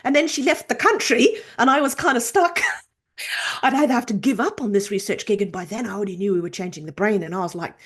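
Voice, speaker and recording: A woman in her fifties from Norway, a chiropractor and researcher telling her story in a casual, animated interview. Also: mid-range voice, fast talker, slightly rough. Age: 50s. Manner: animated storytelling, acts out quoted voices.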